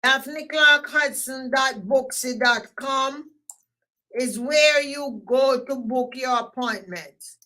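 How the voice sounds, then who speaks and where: A woman with a Jamaican accent hosting a live stream, talking loudly and emphatically and repeating herself as she signs off. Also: high voice, slow. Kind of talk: casual live stream sign-off.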